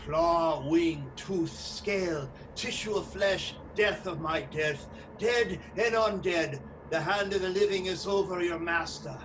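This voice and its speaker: A commanding vampire lord of a radio drama, chanting his summons in slow, theatrical declamation. The voice is pitched high and sits far from the mic in a noisy recording. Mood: commanding, yearning. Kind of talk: radio play acting. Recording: distant mic, clearly noisy background.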